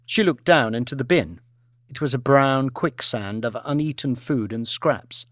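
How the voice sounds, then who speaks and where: A man's voice: mid-range voice, measured pace, fairly bright tone, no room sound, clean studio sound. A man narrating an audiobook in a dramatic, scripted storytelling style.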